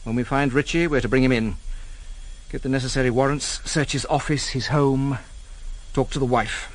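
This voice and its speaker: An actor playing a clipped, authoritative security official in a radio drama, formal and terse. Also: male voice, mid-range voice, steady tone, normal speed, natural voice, low-quality recording. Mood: terse, commanding.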